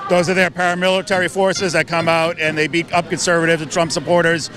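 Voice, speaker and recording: A man's voice: fairly high pitch, little pitch movement, over music. A man, a rally organizer, giving firm, emphatic answers in a street interview at an outdoor protest. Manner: firm, emphatic, with filler pauses.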